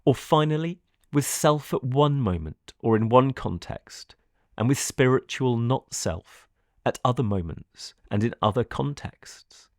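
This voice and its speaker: A man reading a scripted philosophical audiobook in a measured, formal manner. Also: medium pitch, lively intonation, no room sound, studio quality. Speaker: narrator.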